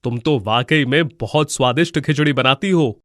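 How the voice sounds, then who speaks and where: A man playing a friendly, delighted food-stall customer who speaks effusively in an animated moral story. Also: mid-range voice, normal speed, no room sound, clean studio sound, close to an everyday voice. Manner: effusive, praising.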